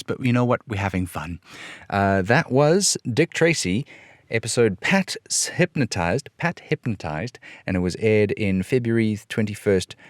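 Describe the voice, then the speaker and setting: A man's voice: fairly low pitch, no echo, studio quality. A man talking casually to his audience as a hobby performer of old radio scripts. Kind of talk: casual chat.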